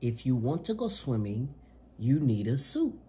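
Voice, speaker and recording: A man, hosting a children's storytime and reading aloud in an expressive, deliberate way. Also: mid-range voice, background noise. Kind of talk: read-aloud children's picture book. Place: small indoor room at home.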